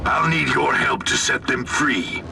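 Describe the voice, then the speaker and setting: An actor playing a zealous, battle-hungry military commander in a video game, bombastic and brusque. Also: male voice, medium pitch, measured pace, creaky. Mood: grimly jubilant. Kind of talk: dramatic video game voice acting.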